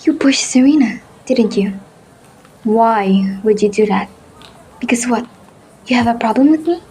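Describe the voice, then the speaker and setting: A woman's voice: on the high side, measured pace, warm tone, slight background noise, lightly acted, low-quality recording. A woman acting an indignant, easily hurt young fairy in an amateur roleplay drama, with an emphatic, dramatic delivery. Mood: hurt, angry.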